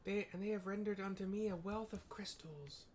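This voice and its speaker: A man's voice: high-pitched, normal speed, voice pushed up, close to an everyday voice. A man voicing a proud, vengeful female wind goddess who declaims threats in a haughty manner.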